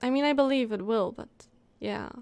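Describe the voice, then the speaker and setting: A woman game streamer giving casual live reactions, thinking aloud in an expressive, halting way. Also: fairly high pitch, gravelly, smooth, no echo.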